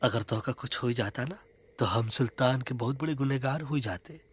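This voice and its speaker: A man voicing a brash, boastful rustic animal in an animated film, with a Bihari accent, comic swagger and music behind. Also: medium pitch, normal speed, slightly rough, close to an everyday voice. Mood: apologetic. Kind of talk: animated film character acting. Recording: background music under the voice.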